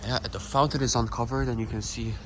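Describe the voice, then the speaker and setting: A man's voice: mid-range voice, steady tone, brisk. Young Polish man vlogging outdoors. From Poland. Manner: rambling, self-correcting. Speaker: travel vlogger. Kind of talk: casual walking tour. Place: outdoor town square. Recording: outdoor street noise.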